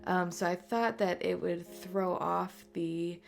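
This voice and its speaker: Raspy, congested woman rambling softly. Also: lowish voice, fairly even intonation, takes it slowly. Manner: soft, rambling, many ums and uhs. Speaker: artist and tattooer. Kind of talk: voice-over chat during a speedpaint. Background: faint music.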